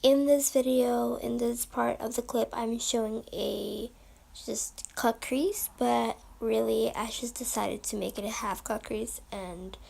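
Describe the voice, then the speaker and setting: A young woman with a high voice and a bit of gravelly fry, slightly hoarse from a recent cold. She talks upbeat and chatty, explaining her makeup steps straight to a close camera in a small room at home. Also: fairly even intonation, a little mellow. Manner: chatty and upbeat, quick asides. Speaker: beauty vlogger. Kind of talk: makeup tutorial narration.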